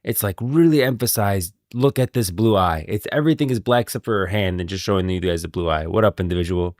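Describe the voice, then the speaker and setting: A man's voice: deep voice, quick pace, a little mellow, no echo, clean studio sound. A man doing casual live-stream commentary, talking in an emphatic, repetitive, slangy way.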